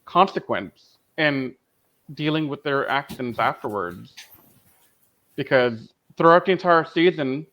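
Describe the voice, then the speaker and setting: A man's voice: medium pitch, fairly bright tone. A man co-hosting a podcast, talking casually in a fan discussion. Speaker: podcast co-host. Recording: dull lo-fi audio.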